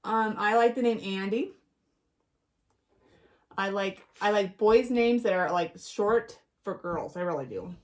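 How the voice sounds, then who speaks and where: A woman's voice: medium pitch, unhurried. Hesitant woman in her forties. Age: 40s. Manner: hesitant, trails off, repeats herself. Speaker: co-host. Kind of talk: casual chat.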